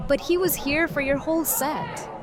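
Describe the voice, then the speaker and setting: Playful, higher-voiced woman acted by a woman. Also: fairly even intonation, normal speed, natural voice. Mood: teasing. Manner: teasing, bantering. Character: playful, teasing, insistent woman at a party. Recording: party music and crowd behind.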